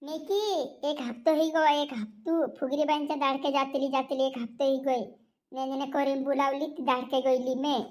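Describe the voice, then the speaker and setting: A performer voicing an excitable village girl in a comedy cartoon, chattering and repeating phrases insistently with a rural western Madhya Pradesh accent. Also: high-pitched, moderate pace, slight background noise, lightly acted. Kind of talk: cartoon dialogue in a rural dialect.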